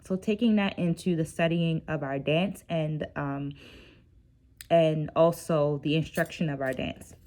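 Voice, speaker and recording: A woman's voice: deep voice, fairly even intonation, a little slow, darkish tone. A middle-aged woman who works as a dance educator, talking in a casual, reflective vlog with a teacherly manner, often checking in with the listener, and sounding tired. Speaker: dance educator and video host.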